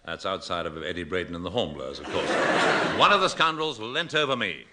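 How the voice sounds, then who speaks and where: Earnest young adventurer, heavy noise. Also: male voice, sits low, animated, fairly quick. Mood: dramatic narrating. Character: earnest, naive young would-be sailor narrating his adventure. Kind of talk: 1960s BBC radio comedy, storybook narration. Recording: very noisy old radio recording.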